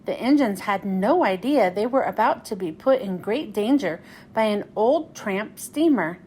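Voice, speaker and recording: A woman reading a children's storybook aloud as narrator, in an expressive, deliberate way. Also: mid-range voice, slight background noise. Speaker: children's book read-aloud host.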